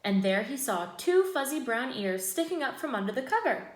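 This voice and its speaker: Femme expressive lisant un conte, voix qui résonne. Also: female voice, on the high side, normal speed. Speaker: teacher reading to young children. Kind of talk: expressive picture-book storytelling. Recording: echoey room.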